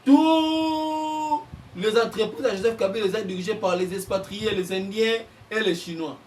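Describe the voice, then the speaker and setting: A Congolese man, a journalist and political analyst, giving impassioned commentary and getting louder as he presses his points. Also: high voice, unhurried, recorded from a distance, a little hiss or hum. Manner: heated, mixes French and Lingala, bursts louder on points.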